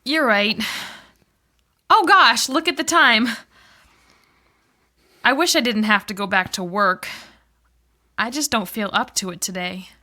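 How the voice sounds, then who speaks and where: A woman's voice: a little high, unhurried, long pauses, sharp tone. A woman playing a worried, downcast wife in soap-opera dialogue for English learners, enunciating carefully. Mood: weary, grateful. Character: worried, downcast wife fearing her husband's affair.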